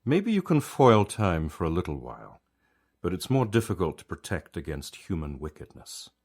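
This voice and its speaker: Male author-narrator, low voice, reflective conversational storytelling, measured delivery. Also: sing-song, darkish tone. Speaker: author reading own story.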